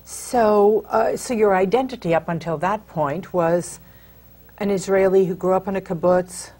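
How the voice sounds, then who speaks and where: A woman's voice: mid-range voice, a little slow, dark tone. A woman hosting a TV interview, asking her guest follow-up questions in an engaged, encouraging way. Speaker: TV interview host. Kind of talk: hosted interview.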